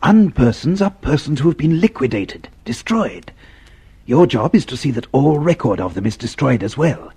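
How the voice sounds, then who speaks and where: An actor playing a man's sardonic inner voice in a radio drama, confiding, urgent and scolding, with a gravelly edge. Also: mid-range voice, big pitch swings, moderate pace, warm tone, full character voice. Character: sardonic inner voice of a fearful man, warning and scolding.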